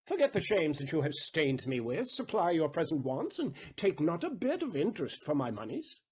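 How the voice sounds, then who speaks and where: An actor playing an aggrieved, wily moneylender in a Shakespearean audio drama, deliberate, rhetorical and sardonic in manner. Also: male voice, on the high side, darkish tone, husky, exaggerated voice.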